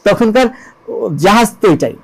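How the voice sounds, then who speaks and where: A Bangladeshi man with a high-pitched voice explains religious history as a storyteller. He is emphatic, repeating key phrases and asking rhetorical questions as he goes. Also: lively intonation, moderate pace, low-quality recording. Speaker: interview guest, lyricist and composer.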